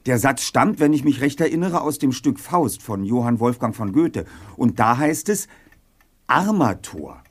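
A man's voice: medium pitch, sing-song, a little slow. A man acting as a brainy, precise teenage boy detective leader in a German audio drama, articulate and pedantic. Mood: pondering, lecturing.

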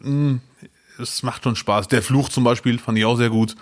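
A man's voice: medium pitch, fairly even intonation, normal speed. A man co-hosting a casual podcast chat, cracking dry ironic jokes. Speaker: podcast co-host.

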